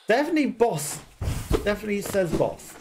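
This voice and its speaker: A middle-aged man giving casual let's play commentary in halting, clipped phrases. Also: high voice, big pitch swings. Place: indoors at home, over gameplay. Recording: noisy home recording with clicks.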